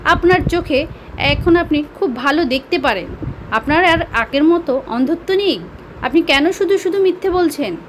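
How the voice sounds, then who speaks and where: A woman's voice: high voice, lively intonation, normal speed, a little hiss or hum, pitched above the performer's own voice. A woman voicing a greedy doctor in a storybook tale, formal and insistent in manner. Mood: insistent, indignant. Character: greedy male doctor, formal, demanding payment. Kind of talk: storybook dialogue.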